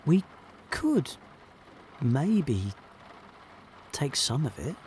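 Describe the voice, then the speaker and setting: A man's voice: fairly high pitch, sing-song, very slow, darkish tone, slight background noise, full character voice. A man with a British accent playing an earnest, touchy jobbing actor in a naturalistic audio drama, speaking colloquially and hesitantly. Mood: tentative, scheming. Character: jobbing actor John, earnest, wistful, touchy, working a council clearance job.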